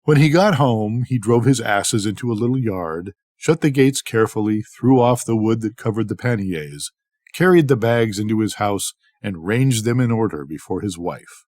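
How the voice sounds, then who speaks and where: Older guy reading a bedtime story, really animated up and down voice, dry recording. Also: fairly low pitch, moderate pace, no echo. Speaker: storybook reader. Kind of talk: scripted bedtime story narration.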